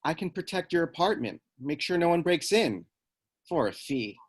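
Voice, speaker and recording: A cocky, scheming little boy played by a woman at a table read, pitched surprisingly low. The delivery is cheeky and challenging, with lively swings in intonation. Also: moderate pace, breathy edge, exaggerated voice. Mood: cheeky, bargaining. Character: cocky, scheming eight-year-old neighbor boy.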